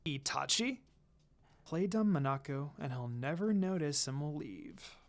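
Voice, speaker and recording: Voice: medium pitch, lively intonation, a little slow, pitched below the performer's own voice. A narrator as a cool, teasing young ninja woman. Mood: coolly evasive. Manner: dry, mocking, understated.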